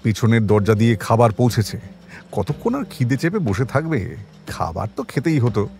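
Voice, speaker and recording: A male actor playing a shrewd, world-weary senior detective in a crime audio drama, with a measured, wry, colloquial delivery. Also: medium pitch, big pitch swings, darkish tone. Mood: calm, decisive.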